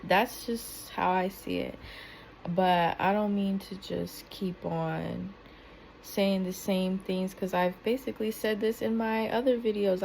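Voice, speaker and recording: Soft-spoken woman, slow earnest commentary. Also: on the low side, vocal fry, a little hiss or hum, poor audio. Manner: earnest, rambling with many restarts and fillers. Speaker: commentary vlogger. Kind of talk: casual commentary.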